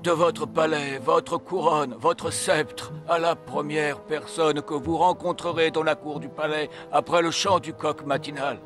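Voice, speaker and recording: An actor playing a loyal, grave, self-sacrificing royal servant in a fairy-tale cartoon, with formal, dramatic delivery. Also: male voice, on the high side, steady tone, a little slow, hardly any pauses, over music, natural voice. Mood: weak, grave.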